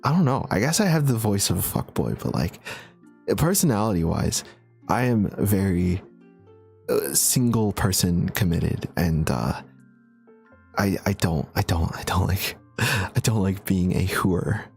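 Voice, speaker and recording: A young man chatting casually on a livestream, answering viewer questions in a relaxed, chatty way with frequent laughs and fillers. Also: deep voice, a little slow, vocal fry, slightly rough, faint music behind. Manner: laid-back, chatty, laughs often, many fillers. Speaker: streamer.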